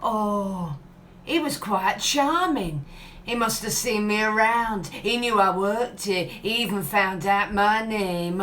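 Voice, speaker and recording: A woman's voice: mid-range voice, slow, a bit bright, slight background noise. A woman playing a flustered, easily smitten but bossy café worker in a family comedy radio play.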